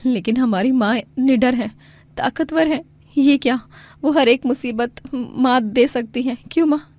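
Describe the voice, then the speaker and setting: A woman's voice: sits high, measured pace, darkish tone, pitched above the performer's own voice. A woman playing brave, devoted young daughters in a Hindi moral story. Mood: reassuring.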